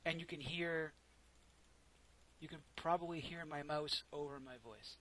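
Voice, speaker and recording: A soft-spoken man casually narrating his own gameplay, rambling and correcting himself as he goes, close to a desk microphone. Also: fairly high pitch, steady tone, a little slow, a little hiss or hum, rough recording. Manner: rambling, self-correcting, chatty. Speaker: gameplay commentator. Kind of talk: casual let's play commentary.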